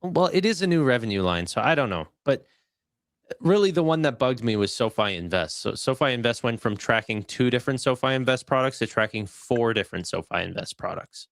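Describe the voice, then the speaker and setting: A man co-hosting a casual live discussion, giving opinions informally and haltingly. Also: mid-range voice, lively intonation, gravelly, no room sound, clean studio sound. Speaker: live stream co-host. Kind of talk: casual chat commentary.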